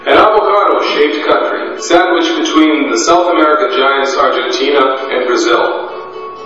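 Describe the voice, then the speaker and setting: A man's voice: a little high, a bit bright. Spanish-native man, echoey distant narration. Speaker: missionary. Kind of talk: scripted testimony narration. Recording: echoey, distant, muffled, with music. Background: background music.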